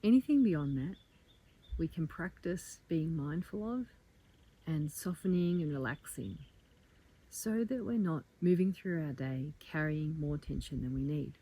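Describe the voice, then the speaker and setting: A woman teaches a guided meditation in a slightly low, very mellow voice. She is calm and gentle, inviting the listener along. Her phrases are measured and leave room for pauses. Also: slightly rough, rough recording. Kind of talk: guided meditation, soft slow instruction.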